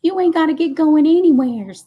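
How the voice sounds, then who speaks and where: A woman's voice: high-pitched, expressive, fairly quick, muffled recording. A woman, hosting a casual live stream and chatting folksily as she reads comments aloud. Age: 50s. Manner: chatty and folksy, says y'all.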